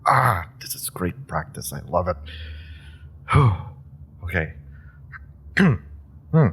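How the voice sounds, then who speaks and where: A man's voice: deep voice, sing-song, slow pace, fairly bright tone, slightly rough, exaggerated voice. A man voicing an eager young superpowered rookie in an audio roleplay drama, rambling and giggling as he stumbles over his words. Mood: admiring. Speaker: voice actor.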